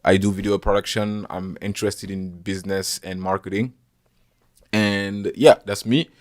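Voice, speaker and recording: A man hosting a casual podcast interview, talking in halting bursts with many restarts and some teasing. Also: lowish voice, fairly even intonation. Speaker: podcast host.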